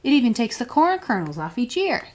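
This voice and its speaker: A woman's voice: fairly high pitch, sing-song, a little fast, a little hiss or hum. A woman reading a children's picture book aloud as a storytime librarian, expressively and with questions put to young listeners.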